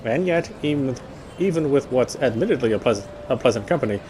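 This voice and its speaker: A man's voice: mid-range voice, animated, fast talker, noisy background. A man playing a game on stream, reading its story narration aloud and sometimes correcting his slips. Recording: music behind the voice. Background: game music.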